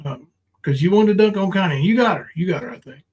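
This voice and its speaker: A man with a fairly high-pitched voice hosts a livestream panel. He talks casually, in a folksy, rambling manner. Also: lively intonation, fast, darkish tone, husky. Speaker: live panel stream host.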